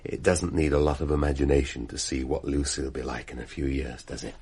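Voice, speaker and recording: A man's voice: rumbling low, flat delivery, fast talker, darkish tone, breathy edge, exaggerated voice. An actor playing a cynical middle-aged British husband and businessman in a radio play, mocking and insinuating in manner. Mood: bitter, cynical. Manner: mocking, insinuating, drawling rhetorical questions.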